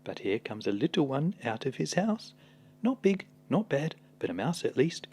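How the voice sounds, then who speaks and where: A man reading a children's picture book aloud as a storyteller, dramatic and expressive. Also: on the high side, normal speed, slightly rough.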